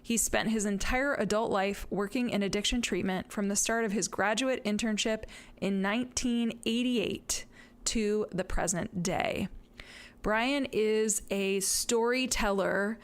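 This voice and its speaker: A woman hosting a podcast, reading an intro and announcements and then interviewing a guest in an upbeat, quick way. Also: mid-range voice, clean tone. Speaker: podcast host.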